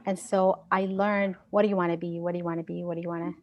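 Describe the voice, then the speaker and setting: A woman's voice: lowish voice, level tone, quick pace, lo-fi sound. Earnest woman telling a teaching story. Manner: earnest, storytelling, frequent restarts. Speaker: guest speaker, science educator. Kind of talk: online seminar Q&A, conversational.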